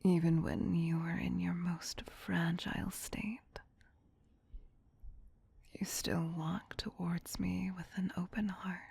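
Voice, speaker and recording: A woman performs a possessive, doting vampire queen for an ASMR roleplay. She speaks in a very breathy, hushed whisper with a slow, lingering pace. Her coaxing endearments are soft and tender. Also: lowish voice, flat delivery, no echo, exaggerated voice. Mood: tender, protective.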